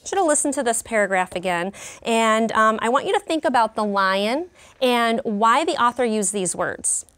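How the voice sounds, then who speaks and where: A woman in her forties teaching a class of young children, speaking slowly and expressively in an elementary classroom. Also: a little high, lively intonation, a bit bright. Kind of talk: classroom read-aloud and teaching.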